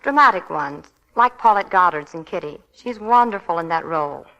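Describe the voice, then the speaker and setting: A woman's voice: on the low side, expressive, moderate pace, vocal fry, bright, forceful tone, lo-fi sound. A young woman, an actress guest in a scripted 1940s radio interview. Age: young adult.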